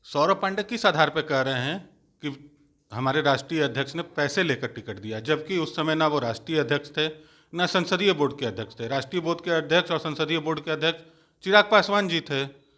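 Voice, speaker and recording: A man's voice: on the high side, brisk, sharp tone. A man giving combative political interview answers as a party spokesperson, emphatic and accusatory, with scorn for his rivals.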